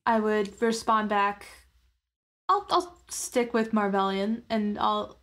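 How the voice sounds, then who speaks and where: A woman's voice: medium pitch, level tone, slow pace, fairly bright tone. A woman talking casually as a player in a tabletop game, asking questions and speaking for her character.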